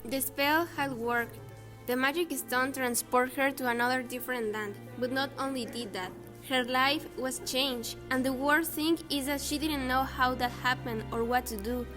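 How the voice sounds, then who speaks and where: A young woman narrates a fairytale from a script. Her voice is high, and music plays clearly in the background. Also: fairly even intonation, normal speed.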